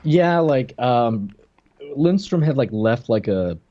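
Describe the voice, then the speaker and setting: A man's voice: medium pitch, animated, takes it slowly, dark tone, no room sound, lo-fi sound. A man co-hosting a podcast, explaining things informally and piece by piece in casual chat. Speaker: podcast co-host.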